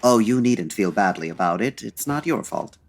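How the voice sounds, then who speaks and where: An actor playing a suave, dry-witted 1940s gentleman detective, urbane and measured, in an old-time radio style. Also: lowish voice, expressive, slight background noise. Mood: polite, cautious.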